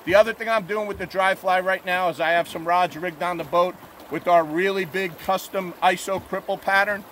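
A man with a fairly high voice talks in an easygoing, unpolished way, with plenty of "uh"s, as he reports on the fishing conditions. He speaks outdoors from a boat to a camera close by, and faint rushing river noise sits under his voice. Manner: relaxed, plainspoken, filler-heavy. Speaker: fishing guide. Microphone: camera mounted on the boat at arm's length. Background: rushing river water.

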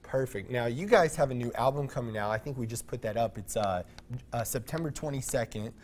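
A man's voice: mid-range voice, a little fast, darkish tone, slight background noise. A man in his thirties hosting a TV interview in a studio, friendly and chatty, laughing easily. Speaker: TV show host.

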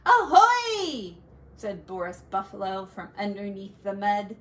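A woman teacher reading a picture book aloud to young children, with drawn-out emphasis and playful asides. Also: mid-range voice, big pitch swings, fairly bright tone.

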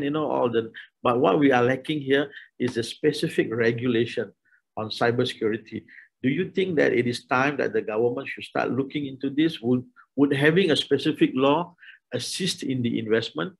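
A man's voice: mid-range voice, gravelly, husky. A middle-aged man moderating an online panel discussion over a video call, talking with many restarts and hesitations. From Malaysia. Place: small room indoors.

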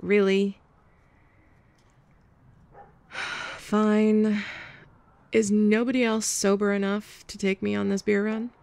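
A woman's voice: medium pitch, flat delivery, slow, vocal fry, long pauses, darkish tone, no echo. A young woman playing a blunt, sarcastic former bully in an audio roleplay, sighing and scoffing as she talks. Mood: scornful. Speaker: ASMR roleplay performer. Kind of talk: F4M ASMR roleplay.

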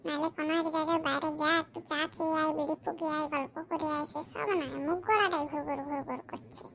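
Very high-pitched grumbling cheeky schoolboy. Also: fairly even intonation, fast, gravelly, fairly bright tone. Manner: colloquial, grumbling, mildly foul-mouthed. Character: cheeky grumbling schoolboy, unprepared for exam, scheming to cheat. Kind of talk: comedy cartoon monologue.

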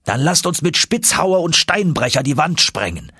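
A man's voice: a little high, big pitch swings, normal speed, bright, forceful tone, husky, dry recording, voice pushed up, full character voice. A man voicing a driven older professor and uncle in an audiobook, authoritative and urging.

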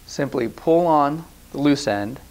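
A man in his thirties giving calm step-by-step product instructions on a lapel mic, recorded in a bare indoor room. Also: fairly high pitch, unhurried. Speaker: product demonstrator. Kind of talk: scripted instructional voice-over.

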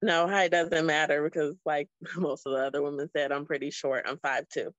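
A woman's voice: low voice, flat delivery, normal speed, no echo. A woman in her late thirties from South Florida, speaking on a video call. Her talk is friendly and reflective, with plenty of ums. The audio is dull and dry. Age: 30s. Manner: friendly, thoughtful, with frequent ums. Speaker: guest. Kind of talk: casual video call chat. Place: small room indoors.

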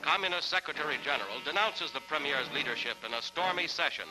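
A man's voice: on the high side, expressive, quick pace, over music, rough recording. A man reading scripted newsreel narration in a formal, clipped announcer delivery on a vintage 1940s soundtrack.

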